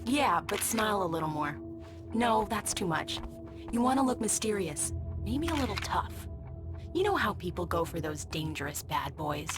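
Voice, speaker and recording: A woman's voice: medium pitch, big pitch swings, normal speed, over music, natural voice. An actress playing a playful young wife who teases her husband while taking his photo. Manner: playful, teasing.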